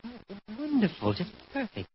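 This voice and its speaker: An actor playing a fussy, excitable male photographer in 1940s radio style, fluttery, precious and effusive. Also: sits high, a little fast. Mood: eager. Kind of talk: 1940s radio comedy-drama acting. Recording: dull, noisy vintage radio recording.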